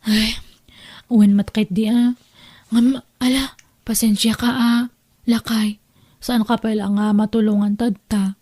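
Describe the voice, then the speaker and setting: A woman's voice: on the high side, level tone, a little slow, long pauses, dark tone, dry recording, studio quality. A woman with a Filipino accent playing a struggling, patient wife and mother in an audio drama. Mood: earnest, patient. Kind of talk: Ilocano audio drama acting.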